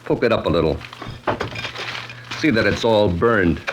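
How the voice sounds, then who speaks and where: An actor playing a cool, smirking gunman in an old-time radio mystery, casual and unhurried with mocking politeness. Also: on the low side, animated, background noise. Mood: smug, commanding. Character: cool, smirking male gunman, quietly menacing.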